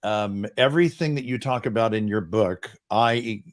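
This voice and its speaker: A man in his sixties, a business coach, telling his story in an earnest, self-deprecating way on a boom mic. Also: moderate pace. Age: 60s. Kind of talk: casual interview chat. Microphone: boom microphone.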